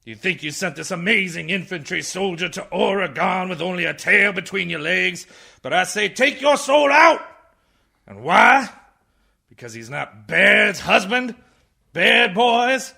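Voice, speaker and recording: An actor playing a blind, tired old man, a blustering, indignant orator with a raspy voice in a radio comedy. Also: high-pitched, lively intonation, measured pace, heavily acted. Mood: blustering outrage. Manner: theatrical, ranting, swings from muttering to shouting. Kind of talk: radio comedy play parodying a film speech.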